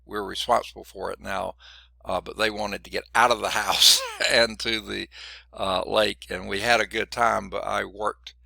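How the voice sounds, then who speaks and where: A man's voice: on the low side, little pitch movement, normal speed, creaky, sharp tone, dry recording. A middle-aged man teaching a college math class, chatty and digressive, sounding congested and often checking in with "okay?". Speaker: math instructor. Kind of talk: classroom lecture.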